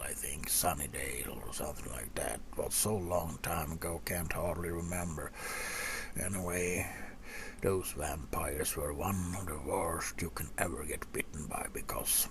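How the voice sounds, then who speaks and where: A man playing a gruff, seasoned old vampire hunter in a softspoken ASMR roleplay, half-whispering in a folksy, rambling way to a rookie. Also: slight background noise. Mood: reminiscing, folksy. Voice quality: low, gravelly, breathy half-whisper. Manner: unhurried, folksy, rambling with musing pauses.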